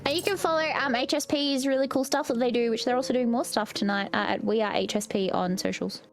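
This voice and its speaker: Female streamer, high voice, chatty and a bit halting, faint music bed. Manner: chatty, with stumbling restarts. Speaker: streamer and player. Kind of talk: casual chat.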